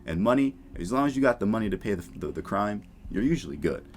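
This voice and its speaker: A man's voice: lowish voice, very animated, fast, a little mellow, slight background noise. A man giving casual reaction commentary in a blunt, slangy, profane way. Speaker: YouTube commentator. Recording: music behind the voice. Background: background music.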